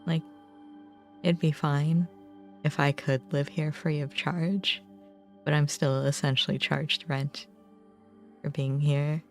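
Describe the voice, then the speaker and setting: A young adult woman chatting casually on a livestream, musing informally in short, halting phrases. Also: deep voice, level tone. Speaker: game streamer. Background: background music.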